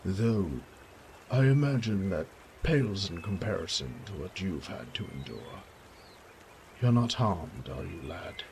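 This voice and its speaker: A man's voice: low voice, animated, unhurried, vocal fry, darkish tone, a little hiss or hum, heavily acted. A man playing a kindly elderly nobleman and father in an audio drama, effusive and genteel with old-fashioned phrasing. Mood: tender, concerned. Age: older. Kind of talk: audio roleplay drama acting.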